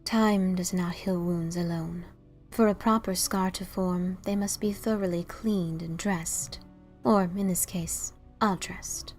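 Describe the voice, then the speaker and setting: A woman playing a calm, wise and mysterious female pony, speaking formally and with gentle, measured probing in an audio drama. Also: lowish voice, faint music behind. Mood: gently probing. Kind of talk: audio drama dialogue of fan fiction.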